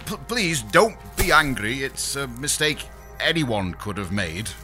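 A man's voice: mid-range voice, big pitch swings, unhurried, music in the background. A man playing a bashful, apologetic space engineer who stammers awkwardly in a sci-fi audio comedy. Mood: sheepish.

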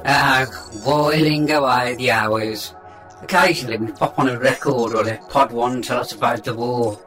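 Woman as a low, dry retired robot pod. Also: fairly even intonation, normal speed, fairly bright tone. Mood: matter-of-fact. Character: retired robot pod, dryly chatty, slowly powering down. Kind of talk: scripted audio comedy drama. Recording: music behind the voice.

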